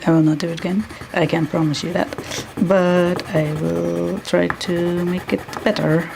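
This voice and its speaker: A woman with a Dutch accent, a non-native English speaker, talking to herself as she draws on a live stream, halting and hunting for words. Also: fairly low pitch, vocal fry, background noise. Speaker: artist live-streaming while drawing. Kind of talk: thinking aloud while drawing.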